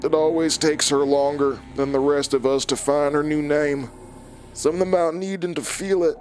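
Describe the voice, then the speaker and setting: A man's voice: on the high side, fairly even intonation, normal speed, darkish tone, soft background music, close to an everyday voice. A man playing a remorseless killer in an audio drama, talking in clipped, callous, matter-of-fact sentences. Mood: cold, matter-of-fact. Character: cold, remorseless killer, an old enemy recounting murders.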